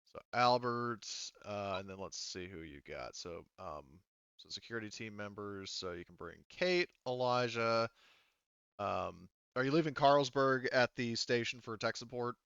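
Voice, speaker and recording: A man's voice: mid-range voice, a little slow, a bit bright, clear, resonant tone, no echo, highs cut off. A man acting as game master of a tabletop sci-fi roleplaying game, improvising and thinking aloud in a casual way.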